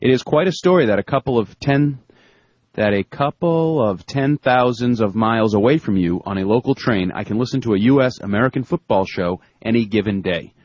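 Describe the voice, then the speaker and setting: A man's voice: mid-range voice, a little fast, darkish tone, no echo, dull audio. A man co-hosting a sports podcast in casual chat, teasing his partner and reading a listener email. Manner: joking, teasing banter.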